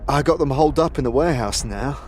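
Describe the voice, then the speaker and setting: A man's voice: medium pitch, lively intonation, fast, darkish tone, slight background noise, close to an everyday voice. A man playing an anxious petty thief and informant who talks in street slang, in a fantasy audio drama. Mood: anxious, pleading.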